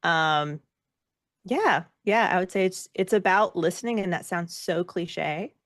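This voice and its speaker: A woman speaking casually as an interview guest, reflective and self-deprecating, with an animated way of talking. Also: on the low side, measured pace, no echo, clean studio sound. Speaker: guest, actor and performer.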